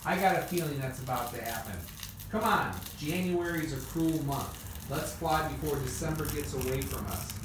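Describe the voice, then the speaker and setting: Homemade radio drama: encouraging, practical inventor brother, female performer, earnest delivery, distant mic, clear background noise. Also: low-pitched, moderate pace, natural voice. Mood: calm, practical. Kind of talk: amateur family radio play. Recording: echoey room, distant mic, noisy hiss.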